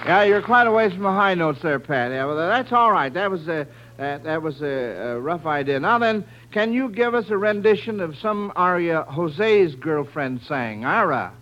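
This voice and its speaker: A man's voice: on the high side, lively intonation, moderate pace, hardly any pauses, fairly bright tone, lo-fi sound, a faint crowd, a little hiss or hum. An American man hosting a 1940s radio quiz show in a folksy, encouraging way, on an old recording.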